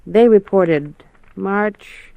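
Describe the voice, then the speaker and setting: A woman's voice: medium pitch, extremely slow, creaky, no echo, phone-quality sound. Methodical radio policewoman, dry close sound. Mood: explanatory, lightly amused. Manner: matter-of-fact, explanatory, lightly amused. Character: confident, methodical policewoman sergeant briefing an investigator. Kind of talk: 1950s radio drama acting.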